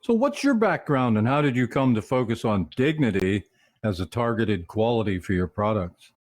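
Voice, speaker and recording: A man hosting an interview, reading prepared questions with a lively lilt. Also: lowish voice, moderate pace. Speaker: interview host.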